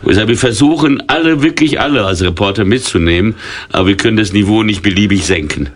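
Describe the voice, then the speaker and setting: A man's voice: on the low side, fast. A man in his sixties, a retired football commentator, chatting wryly in a radio interview, telling anecdotes with many fillers and restarts. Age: 60s. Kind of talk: casual radio interview chat.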